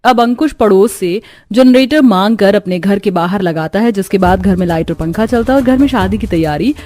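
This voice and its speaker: A woman's voice: medium pitch, fairly quick, darkish tone. A woman reading scripted narration for a story.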